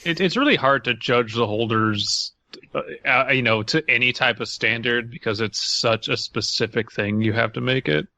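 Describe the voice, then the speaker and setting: A man's voice: mid-range voice, moderate pace, pushed and bright, dry recording. A man co-hosting a podcast, chatting casually and reading a horror story aloud with dry joking asides. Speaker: podcast co-host.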